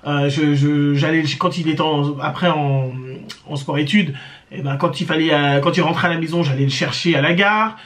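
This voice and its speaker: A woman's voice: low voice, fairly even intonation, a little fast. Woman doing a storytime vlog, rambly, lots of "euh"s and restarts, talks right to the viewers. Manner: hesitant, many fillers and restarts; chatty, addresses viewers directly. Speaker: storytime vlogger. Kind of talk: casual storytelling to camera.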